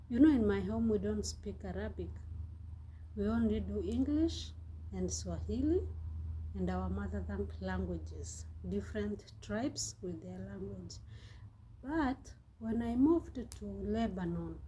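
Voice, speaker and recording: Slow-talking woman vlogger, warm voice. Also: medium pitch, a little hiss or hum, low-quality recording. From Kenya. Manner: chatty, repeats and restarts phrases, laughs often. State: sweating from heat. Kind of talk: casual vlog talk to camera. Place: hot room in the Gulf.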